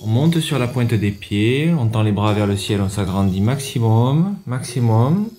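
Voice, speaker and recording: A man guiding a yoga class, giving measured step-by-step instructions with pauses. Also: mid-range voice, lively intonation, warm tone. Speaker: yoga teacher and radio show host. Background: music under the voice.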